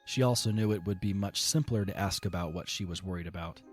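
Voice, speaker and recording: A male narrator with a fairly low voice reads the story in a steady, measured way. He tells the scene calmly between the characters' lines. Faint music sits under his narration. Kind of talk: storybook narration of an audio drama.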